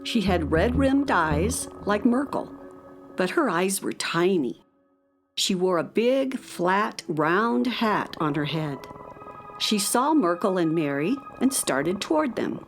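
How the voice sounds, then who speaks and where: A woman playing the narrator of a children's space audio drama, reading scripted story narration calmly. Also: medium pitch, slow pace. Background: faint music.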